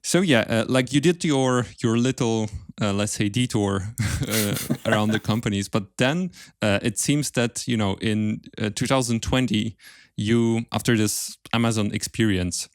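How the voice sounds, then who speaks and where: A man hosting a casual podcast interview, speaking in a halting way and repeating words as he forms his thoughts. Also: fairly low pitch, dry recording, studio quality. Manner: hesitant, halting, repeats words while forming questions.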